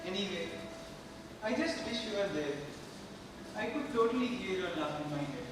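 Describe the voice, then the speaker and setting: Man acting as earnest chatty teen, very high voice, stage play, recorded far off in a big reverberant hall. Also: animated, moderate pace. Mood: wistful, chatty. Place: large indoor theater hall stage. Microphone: distant camera or room microphone. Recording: echoey hall from far off, noisy.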